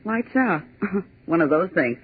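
A woman's voice: on the low side, expressive, takes it slowly, husky, full character voice. An actress playing a cheeky, grumbling young woman typist with a scoffing, wisecracking manner in 1940s radio drama style. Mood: teasing, scoffing. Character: cheeky, sarcastic young female script typist, grumbling and fearless. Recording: tinny, noisy 1940s radio recording.

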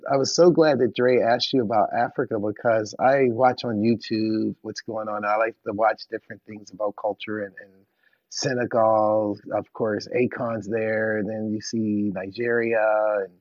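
A man's voice: on the low side, darkish tone, no room sound. A man in his forties co-hosting a podcast interview, chatting and asking long rambling questions with restarts. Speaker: podcast co-host. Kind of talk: casual interview chat. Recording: dull, dry lo-fi sound.

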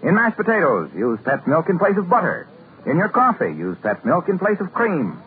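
A man's voice: high voice, huge pitch swings, normal speed. A man announcing for a sponsor in the style of 1950s radio. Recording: tinny, noisy old radio recording.

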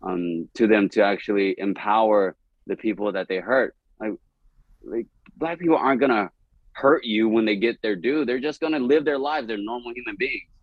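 A low-pitched young man from East Dallas, Texas, chatting candidly on a podcast. He rambles in an animated way and laughs a lot. The sound is dry and a bit dull. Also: moderate pace, dry recording. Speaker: comedian guest.

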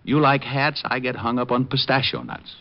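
A man's voice: mid-range voice, normal speed, lightly acted. A man playing a wisecracking male private detective in a 1950s radio drama, with dry quips. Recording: dull lo-fi old radio broadcast.

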